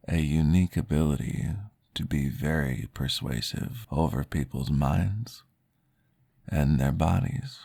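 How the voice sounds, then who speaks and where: Man playing a very deep, gravelly vampire. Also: a little mellow, no echo, studio quality, full character voice. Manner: formal, polite, measured. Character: courteous, formal male vampire guiding a therapy session. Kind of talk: ASMR roleplay.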